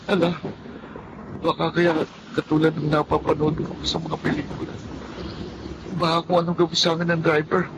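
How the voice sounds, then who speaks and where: A man's voice: on the high side, monotone, moderate pace, pauses often, noisy background, close to an everyday voice. An actor playing a poor, hungry, mistreated boy in a radio drama, plaintive and timid. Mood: anxious. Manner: plaintive, timid, talking to himself. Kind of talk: dramatic first-person radio storytelling.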